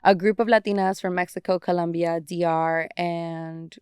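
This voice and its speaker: A woman's voice: lowish voice, steady tone, normal speed, darkish tone, clean tone, no room sound, studio quality. A woman guest on a podcast, chatting with enthusiasm about a project. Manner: enthusiastic, upbeat storyteller. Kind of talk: casual chat.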